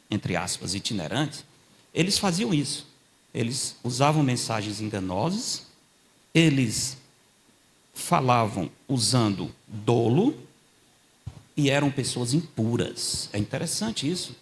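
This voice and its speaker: An older man preaching a sermon in a church. He tells stories in a conversational way, checks in with the congregation, and grows louder and higher when he quotes scripture. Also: sing-song, unhurried. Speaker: guest preacher. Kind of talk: sermon with storytelling.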